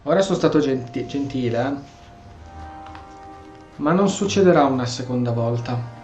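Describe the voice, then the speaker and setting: A man's voice: mid-range voice, animated, a little fast, a little mellow, faint music behind. Italian man, echoey game commentary. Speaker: gaming streamer. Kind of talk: casual let's play commentary.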